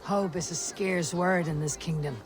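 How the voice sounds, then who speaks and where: A woman playing a stern, resolute Viking raider leader in a game, speaking in a blunt, measured, commanding way. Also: on the low side, steady tone, music in the background, natural voice.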